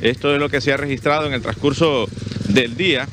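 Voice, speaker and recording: A man's voice: mid-range voice, steady tone, fairly quick, music in the background. A man reporting live news from a roadside, hesitant with frequent fillers, on a handheld mic. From Honduras. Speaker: field reporter. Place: outdoors on a roadside.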